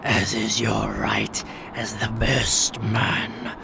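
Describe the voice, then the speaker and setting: Creepy alien agent for a radio play, whispery and croaky, drawn out, hissy noisy recording. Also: male voice, lowish voice, level tone, drawn-out words, full character voice. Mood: sinister, coaxing. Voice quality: breathy, gravelly whisper. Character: sinister alien agent offering power and conquest. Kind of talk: radio drama acting. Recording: noisy ambient hiss.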